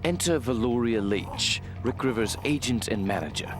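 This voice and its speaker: A man reading scripted mock-documentary narration in a deliberate, mock-serious manner. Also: medium pitch, animated, faint music behind. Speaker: documentary narrator, voice-over artist.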